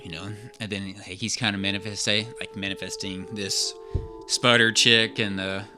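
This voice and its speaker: A man chatting casually as a podcast co-host, in a halting, rambling and hesitant way. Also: on the low side, little pitch movement, music in the background. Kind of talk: casual chat.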